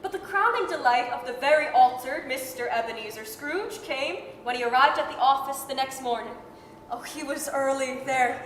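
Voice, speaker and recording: A woman's voice: sits high, normal speed, fairly bright tone. A woman narrating a staged 1930s-style radio drama in a gleeful, theatrical storytelling manner. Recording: echoey hall, faint noise.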